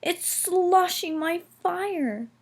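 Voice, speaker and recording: A woman's voice: extremely high pitch, animated, drawn-out words, a little mellow, pitched above the performer's own voice, full character voice. A woman voicing a plucky, earnest little steam engine in a children's storybook, shivering, gasping and exclaiming. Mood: cold, distressed.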